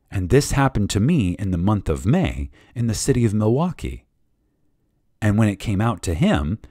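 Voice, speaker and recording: A man reading a spiritual lecture dramatically, with reverence, emphasis and deliberate pauses. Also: sits low, darkish tone, no room sound, clean studio sound. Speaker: reader of a lecture.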